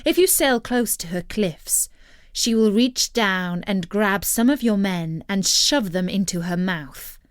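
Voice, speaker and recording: A woman's voice: mid-range voice, slow pace, clean tone, dry recording, clean studio sound, natural voice. A woman voicing a beautiful, seductive witch from a storybook, wheedling and commanding in a theatrical way. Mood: wistful, warning. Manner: theatrical, coaxing. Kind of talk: storybook character voice.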